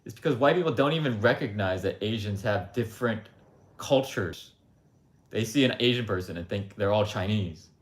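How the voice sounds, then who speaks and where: A man holds forth on a livestream in a low voice. His talk is blunt and rambling, full of "like" and "um" as he circles back to his point. The sound carries a roomy echo. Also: expressive, moderate pace. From United States. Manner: rambling, blunt, frequent asides with "like" and "um". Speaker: livestream host. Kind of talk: casual opinionated monologue.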